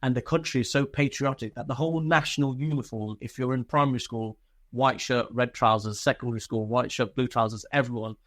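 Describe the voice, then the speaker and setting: A man's voice: mid-range voice, steady tone, a little fast, fairly bright tone. A man telling personal stories casually as an interview guest, chatty and informal, swearing freely and often chuckling. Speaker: interview guest, former soldier.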